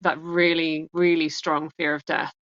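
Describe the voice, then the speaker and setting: Woman, author guest, low-ish voice, rambling chatty delivery, dead-dry dull lo-fi audio. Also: level tone, a little slow, fairly bright tone, no echo. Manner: rambling, restarts sentences, laughs often. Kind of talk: candid conversational interview.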